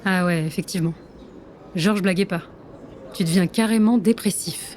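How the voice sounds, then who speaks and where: A woman's voice: on the low side, normal speed, a little mellow, slight background noise, close to an everyday voice. A woman playing a sardonic, teasing secret agent in a spy audio drama, casual and needling.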